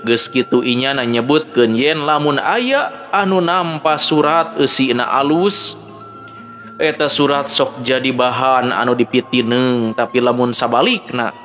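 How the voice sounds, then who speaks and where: A man's voice: medium pitch, measured pace, fairly bright tone. A man with a Sundanese accent narrating a dramatic story as a storyteller. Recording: background music under the voice. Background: music.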